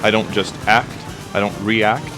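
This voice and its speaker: A man in his early thirties answers questions in a casual, conversational way. His voice sits fairly low, and loud music plays behind him. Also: fairly even intonation, measured pace, rough recording. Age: early 30s. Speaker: fitness influencer answering viewer questions.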